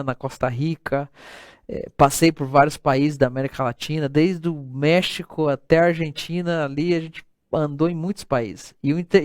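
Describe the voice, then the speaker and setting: A man's voice: a little high, clean tone, no room sound, clean studio sound. A middle-aged man gives a recorded lecture on missions. He talks in a conversational way and often ends his sentences with a questioning "né". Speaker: missiology teacher.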